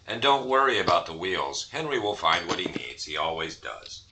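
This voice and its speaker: A man's voice: low-pitched, very animated, moderate pace. Melodramatic space captain, noisy recording male actor. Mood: grumbling. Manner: theatrical, grumbling. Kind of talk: comic sci-fi audio drama acting.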